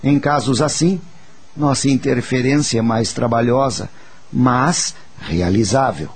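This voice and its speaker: A man playing a wise, patient spirit teacher, speaking in a measured, reassuring and didactic way in a radio drama. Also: mid-range voice, a little hiss or hum, close to an everyday voice. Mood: reassuring, instructive. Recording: faint music under the voice.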